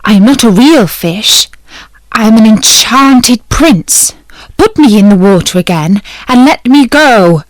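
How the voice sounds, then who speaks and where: A woman's voice: on the high side, lively intonation, a little slow, no echo, rough recording. A woman voicing a magic golden fish, an enchanted prince, in a storybook reading. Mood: calm.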